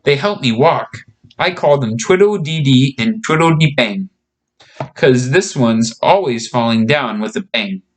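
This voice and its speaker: A man's voice: mid-range voice, animated, measured pace, natural voice. A man voicing a friendly, reassuring young girl in a storybook reading, chatting and explaining things simply.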